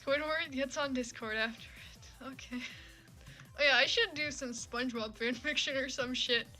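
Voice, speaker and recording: Female streamer, bright and highish, informal comic read-aloud, self-deprecating and sweary, faint music. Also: normal speed. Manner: sweary, self-mocking, giggly asides. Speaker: livestreamer reading fan fiction. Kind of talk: casual livestream chat and comic read-aloud.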